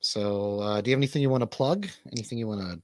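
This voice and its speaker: A man's voice: on the low side, fast talker, a little mellow, dry recording, studio quality. A middle-aged man hosting a casual live stream in informal, sometimes sweary chat. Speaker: show host.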